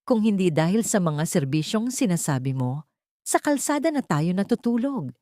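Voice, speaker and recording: A woman's voice: lowish voice, very animated, moderate pace, warm tone, no echo. Female narrator as a threatening husband in story fiction, defensive and angry, dry sound. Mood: defensive fury. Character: angry, menacing husband with a shameful secret. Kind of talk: dramatic audio story dialogue.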